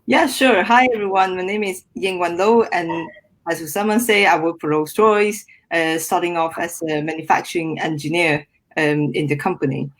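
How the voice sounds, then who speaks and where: A young woman from Malaysia, speaking as a guest in a podcast interview. Her voice sits a little low for a woman. She explains in a friendly way, often pausing on "um" and repeating words as she goes. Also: fairly even intonation. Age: young adult. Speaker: guest engineer.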